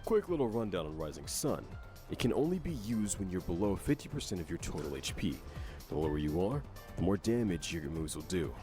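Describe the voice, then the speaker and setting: A man with a fairly low voice narrates a scripted game explainer. His intonation is lively, over faint background music. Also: brisk.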